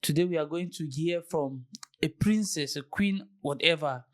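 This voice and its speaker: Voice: mid-range voice, moderate pace. A chatty interview host who repeats phrases and asks viewers to like, comment and share before introducing the guest. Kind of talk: casual interview hosting.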